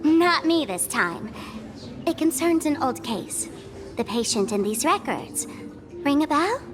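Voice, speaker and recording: A woman's voice: sits high, big pitch swings, measured pace. A woman voicing a playful, scheming young trickster in a video game, with a teasing, sing-song, theatrical delivery. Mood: playful, brisk. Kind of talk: English video game voice acting. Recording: music playing behind the voice.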